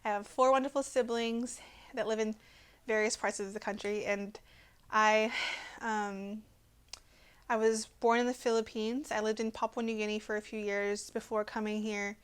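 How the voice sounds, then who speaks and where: High, clear young American woman, earnest. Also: flat delivery, normal speed. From Texas, USA. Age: 20s. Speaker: personal testimony vlogger. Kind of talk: personal testimony, talking to camera with notes.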